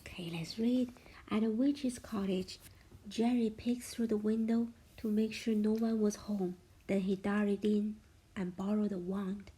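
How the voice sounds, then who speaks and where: A woman reading a children's storybook aloud as a parent would, expressively, with a hushed sense of suspense. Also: mid-range voice, steady tone, a little slow, slightly rough, low-quality recording.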